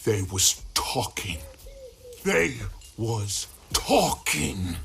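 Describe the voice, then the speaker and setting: An actor playing a middle-aged American outlaw gang leader in a video game, grandiose and betrayed, with a gravelly, theatrical, drawn-out delivery. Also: male voice, lowish voice, big pitch swings, fairly bright tone, slight background noise, heavily acted. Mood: wounded, reproachful. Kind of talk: dramatic video game acting.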